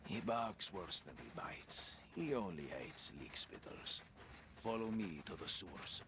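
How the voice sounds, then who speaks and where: A man playing a gruff, scheming guide in a dark fortress in a video game, giving instructions in an ominous manner. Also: low voice, very animated, takes it slowly, noisy background, heavily acted. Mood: guiding, confiding. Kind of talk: video game character acting.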